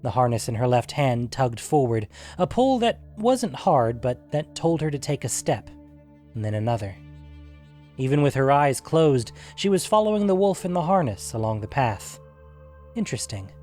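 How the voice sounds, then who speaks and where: A male narrator tells a fantasy tale in a measured, literary way. His pitch sits in an ordinary male range. Music runs underneath the narration. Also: sing-song, a little mellow. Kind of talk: scripted fantasy story narration.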